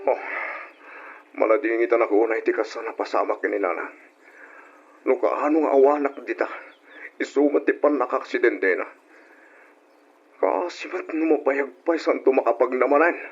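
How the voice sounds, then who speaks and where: Husky, earnest young husband. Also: male voice, mid-range voice, moderate pace, pauses often. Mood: sorrowful. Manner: earnest, gentle. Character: grateful, tender young husband. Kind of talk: old-time radio drama acting. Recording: muffled old radio recording.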